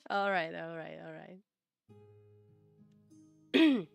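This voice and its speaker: A woman chats unhurriedly with her stream viewers, drawling and in no rush. She rambles through her thoughts, padding them with fillers, and breaks into laughs often. Soft music plays faintly behind her. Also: lowish voice, expressive, drawn-out words, vocal fry, clean tone, no room sound, dull audio. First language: Czech. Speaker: live streamer. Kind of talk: casual chat with viewers.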